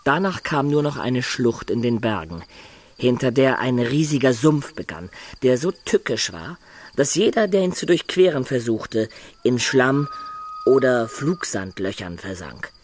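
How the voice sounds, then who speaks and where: An actor playing a worldly adventurer who recounts his fantastic travels in a radio play, measured and vivid. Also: mid-range voice, lively intonation. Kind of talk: German radio play narration.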